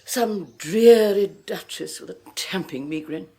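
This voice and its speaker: A woman's voice: medium pitch, dark tone, breathy edge. An actress playing a despotic, domineering rich woman who mocks everyone around her in a theatrical, sneering way, in a 1980s radio horror drama. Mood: mocking. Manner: sneering, theatrical, drawn-out mockery. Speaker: Henrietta Forsythe.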